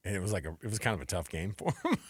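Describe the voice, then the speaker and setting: A man's voice: deep voice, wildly swooping pitch, fast, a bit bright, breathy edge, no room sound, clean studio sound. An American man hosting a sports show in casual, colloquial back-and-forth talk.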